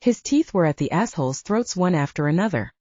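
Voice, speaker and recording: A woman narrates an audiobook story in a relaxed, dark-toned voice. Her pitch moves around a lot, and the recording is very dry and a little dull. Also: a little fast, no room sound. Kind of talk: scripted fiction narration.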